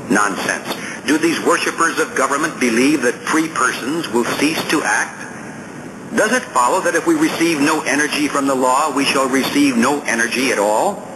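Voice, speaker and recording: A male audiobook narrator delivers a scripted essay at a medium pitch. His reading is deliberate and oratorical, with firm emphasis on key words. Clear noise sits behind the voice throughout the recording.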